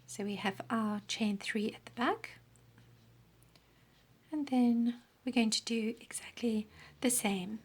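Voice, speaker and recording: Slow-talking croaky lady walking thru crochet rounds, counts stitches, half whispers a lot. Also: on the high side. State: losing her voice, croaky. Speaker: crochet teacher. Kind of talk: step-by-step craft tutorial.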